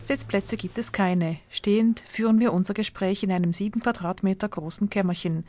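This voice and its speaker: A woman reporter giving scripted, measured narration for a news feature. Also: mid-range voice, steady tone, dark tone. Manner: measured, descriptive.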